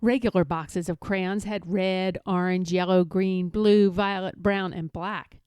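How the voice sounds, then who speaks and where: Lady reading a kids book out loud, expressive, takes her time, close dry sound. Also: on the low side, unhurried, clear, resonant tone, no room sound. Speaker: storybook reader. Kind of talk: children's read-aloud narration.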